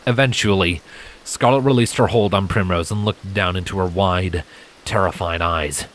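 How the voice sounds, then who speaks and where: A man's voice: low-pitched, moderate pace, fairly bright tone, slight background noise. A man reading fan fiction as a dramatic, scripted storybook narration. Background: music and rain effects.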